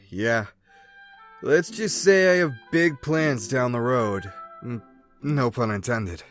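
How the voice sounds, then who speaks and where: A man's voice: medium pitch, slow pace, faint music behind, close to an everyday voice. A man voicing an easygoing young male rat drifter who talks in a laid-back, friendly, chatty way in a fan comic dub.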